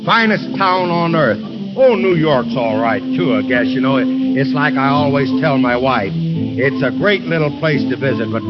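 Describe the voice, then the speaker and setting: A man's voice: mid-range voice, lively intonation, normal speed, big-room sound, lightly acted. An actor playing a folksy, boastful, well-meaning middle-aged businessman husband in old-time radio comedy, talking in a garrulous, blundering small-town way. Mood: hearty. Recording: muffled old radio recording with music.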